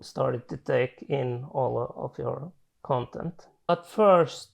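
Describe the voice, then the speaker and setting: Gravelly man in his forties. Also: medium pitch. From Finland. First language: Swedish. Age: 40s. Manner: halting, with frequent restarts and self-corrections in careful non-native English. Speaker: client giving a testimonial. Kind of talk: reflective personal testimonial.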